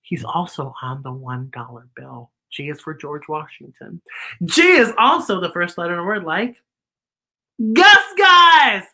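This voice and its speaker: A woman's voice: lowish voice, big pitch swings, a little slow, bright, forceful tone, low-quality recording. A woman hosting a children's story time in a playful, theatrical, exclamatory way with campy sass and bits of singing. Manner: campy drag-style sass. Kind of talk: playful kids' show presenting with singing.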